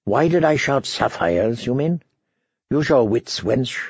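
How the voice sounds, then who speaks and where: A man voicing a proud, sardonic, wounded knight in an audiobook, speaking in hushed, dry tones. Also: expressive, measured pace, breathy edge, no echo, lo-fi sound. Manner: low whispering, sardonic.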